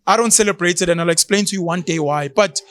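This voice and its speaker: A man in his thirties with a South African accent, animated and mocking as he co-hosts a casual talk show, sometimes slipping into isiZulu. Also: high-pitched, quick pace, dry recording, clean studio sound. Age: 30s. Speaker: podcast co-host. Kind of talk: opinionated casual chat.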